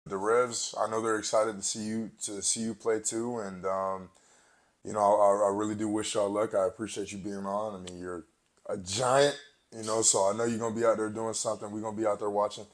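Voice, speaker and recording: A man with a fairly low voice hosts a sports podcast. He asks his questions in an easy, conversational way and keeps his guest going with soft "mm" sounds between the answers. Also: fairly quick. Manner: relaxed, affirming with quiet mm-hmms. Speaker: podcast host. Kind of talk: conversational interview.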